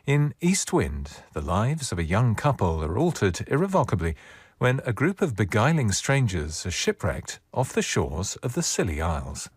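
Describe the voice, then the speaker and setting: Low, husky male radio announcer. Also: wildly swooping pitch, moderate pace, dry recording. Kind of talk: scripted programme introduction.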